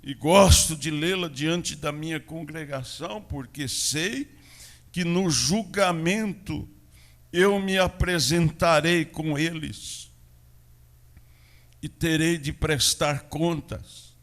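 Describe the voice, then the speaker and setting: A Brazilian man, a pastor preaching a sermon in a church with a São Paulo interior accent, moving between loud proclamation and quiet asides. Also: fairly high pitch, slow. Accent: Brazilian Portuguese, São Paulo interior.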